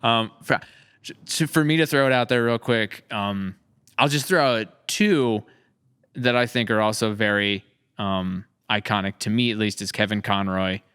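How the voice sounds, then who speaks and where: A man's voice: on the low side, normal speed, fairly bright tone, smooth. A man hosting a casual podcast chat, talking in an informal, enthusiastic way. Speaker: podcast host.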